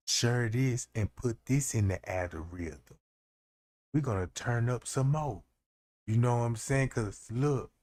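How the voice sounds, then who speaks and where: A man's voice: medium pitch, a little mellow. A man hosting a livestream, giving loose, slangy commentary and often asking "you know what I'm saying". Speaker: livestream host. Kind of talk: casual commentary on a case.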